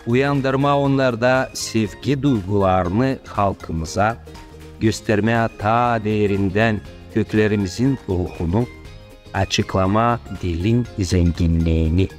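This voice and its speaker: A man with a Gagauz accent giving scripted narration and reciting a poem in a slow, measured way. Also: on the low side, soft background music. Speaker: promo narrator.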